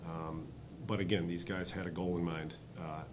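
A man in his thirties, a head coach answering postgame press questions at a table mic, halting with many ums and uhs. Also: deep voice, flat delivery, background noise. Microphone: table mic on stand.

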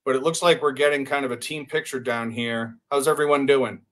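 A man in his sixties hosting a live online presentation, measured, with hesitant pauses. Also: mid-range voice, steady tone, fairly bright tone. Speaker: live show host. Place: hotel room. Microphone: webcam on a video call.

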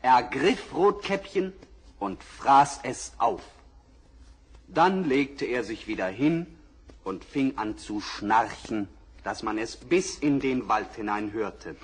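A man's voice: medium pitch, animated, slow pace, slightly rough. A man narrating a fairy tale for children, addressing the listeners directly, in a 1960s radio play. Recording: crackly 1960s vinyl record.

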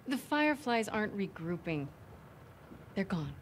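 A woman's voice: mid-range voice, very animated, unhurried, slight background noise. An actress playing a tough young woman fighter who talks in a blunt, clipped way in a video game cutscene. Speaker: game character. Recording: game audio with music score.

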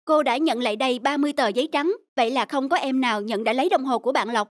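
A woman voicing a gentle, serious schoolteacher in a Vietnamese cartoon, admonishing softly and in a measured way. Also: high-pitched, soft background music, close to an everyday voice. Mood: grave. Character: gentle, serious female schoolteacher, concerned and fair.

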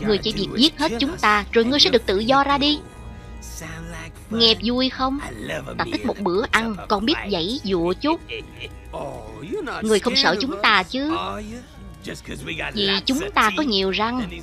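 A gloating cartoon hyena boss, read by a woman in a high voice. The delivery is taunting and hungry for a meal, with music clearly behind it. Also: lively intonation, normal speed, pauses often, close to an everyday voice. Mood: mocking menace. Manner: taunting, menacing. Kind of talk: cartoon voice-over dubbing. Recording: clear film music and original soundtrack underneath.

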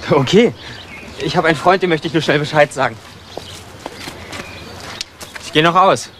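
A man's voice: a little high, fast, fairly bright tone, slight background noise, natural voice. A young man acting a cheeky, easygoing homeless youth in a radio crime drama, talking in casual slang.

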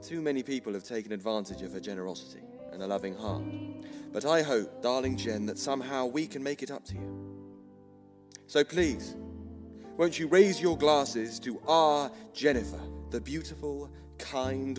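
Actor as tender husband toasting in a hall. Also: male voice, medium pitch, normal speed, close to an everyday voice. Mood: tender, celebratory. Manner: tender, speech-making. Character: loving middle-aged husband giving an anniversary toast. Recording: reverberant hall, music behind.

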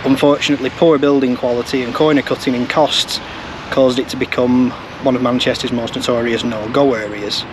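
Male vlog presenter, mid-pitched, informative walk-and-talk commentary, recorded outdoors with noticeable traffic noise. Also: fairly even intonation, a little fast. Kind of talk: informative location commentary to camera. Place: outdoors beside busy urban roads. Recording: outdoor street noise from passing cars.

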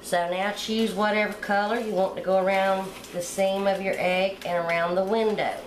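A middle-aged woman hosting a cooking channel, explaining step by step how to decorate a sugar egg at home in a relaxed, homey way. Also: medium pitch, normal speed, echoey room, slight background noise, rough recording. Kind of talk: hands-on decorating tutorial.

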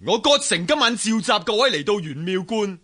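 Fiery high-pitched rebel leader. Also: male voice, lively intonation, moderate pace. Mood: rousing defiance. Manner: loud, declamatory rallying. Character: fiery male rebel leader rallying his sworn brothers. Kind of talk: radio drama acting.

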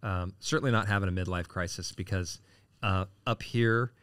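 A man's voice: sits low, normal speed, fairly bright tone, clean tone, dry recording. A man in his late forties, a city council candidate answering interview questions casually, with lots of fillers and restarts. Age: 40s. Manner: rambling, frequent fillers and restarts.

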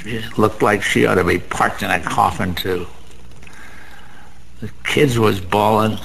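A man's voice: fairly low pitch, fairly even intonation, unhurried, creaky, fairly bright tone, exaggerated voice, poor audio. An actor playing a bitter, sentimental, down-on-his-luck American small-time gambler in a radio drama, talking in rambling, world-weary slang. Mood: wistful boasting. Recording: faint background noise.

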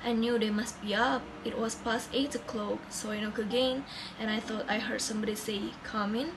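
A woman's voice: a little high, steady tone, normal speed, noisy background, close to an everyday voice. A young woman playing a plain-spoken male farmer recounting what he saw, in amateur student stage-play style. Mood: earnest recounting. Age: young adult. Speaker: student actor in a class play. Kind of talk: amateur stage-play acting, recited monologue. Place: indoors, small plain room. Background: music.

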